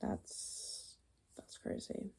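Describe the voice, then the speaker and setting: A young adult woman hosting ASMR, speaking softly and breathily, often whispering, musing aloud in an informal, murmured way. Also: extremely slow. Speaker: ASMR video host. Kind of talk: ASMR, soft-spoken personality explainer while drawing.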